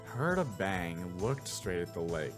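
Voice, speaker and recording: An animated man commentates casually on a game he is playing. His pitch swings around a lot as he mutters and repeats his thoughts, with music playing behind him. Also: takes it slowly. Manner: animated, thinks aloud, repeats himself. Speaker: voice actor host of a game playthrough. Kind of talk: casual commentary and reading game dialogue aloud.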